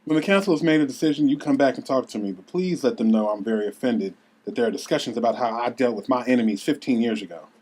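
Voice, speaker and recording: A man's voice: mid-range voice, fast, few pauses, a little mellow, lightly acted. Man reading an imperious female boss. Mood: cold, imperious. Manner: formal, imperious. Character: commanding, cold female boss defending her rule.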